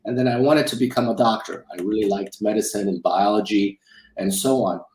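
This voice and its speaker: A man's voice: on the low side, steady tone, a little fast, a little mellow, roomy sound, a little hiss or hum, rough recording. A middle-aged man from Romania, raised in South Dakota, telling his story conversationally as an interview guest, often repeating and rephrasing himself. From Romania, raised in South Dakota from childhood. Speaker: interview guest, film executive producer and financial advisor.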